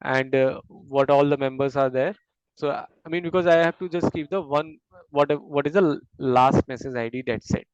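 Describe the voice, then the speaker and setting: A man teaching a technical topic step by step, speaking haltingly, often repeating words and checking with a tag question. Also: mid-range voice, smooth, no room sound. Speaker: instructor explaining system design. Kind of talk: technical lecture in a discussion, explaining step by step. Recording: dull, lo-fi close audio.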